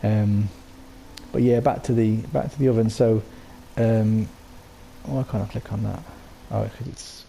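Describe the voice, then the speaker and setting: A man with a low, gravelly voice, made croaky by a sore throat. His tone is dark and mellow, and he rambles along with ums and false starts as he walks through his build. A faint hiss sits under the voice. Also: steady tone, a little slow, low-quality recording. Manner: rambling, full of ums and restarts. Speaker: game settlement builder. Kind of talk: casual voice-over walkthrough.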